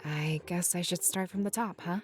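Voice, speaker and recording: Woman playing a low-voiced, weary rebel heroine. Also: steady tone, moderate pace, faint music behind, lightly acted. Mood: weary, self-doubting. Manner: earnest, hesitant. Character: weary, dutiful female furry rebel, hesitant to unwind. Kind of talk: visual novel voice acting.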